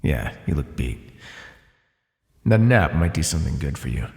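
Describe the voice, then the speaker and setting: A bored naga predator, played by a man for fantasy ASMR roleplay. His voice is very low and gravelly, with lively swings in pitch. He talks down to his prey with casual, dry, teasing menace. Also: moderate pace, heavily acted. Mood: smug teasing. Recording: echoey, long cave-like reverb.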